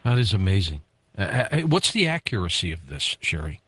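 A man's voice: low-pitched, sing-song, fairly quick, fairly bright tone, slightly rough, no echo. A man in his fifties hosting a radio talk show, asking his guest curious, chatty questions. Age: 50s. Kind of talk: radio interview.

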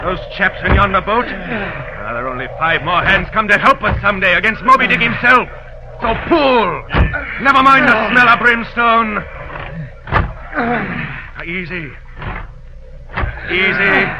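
An actor playing a dutiful first mate on a whaling ship who turns to rough, rousing shouts at his oarsmen. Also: sits high, background noise. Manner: respectful to captain, rough urging of rowers. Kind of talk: radio drama acting.

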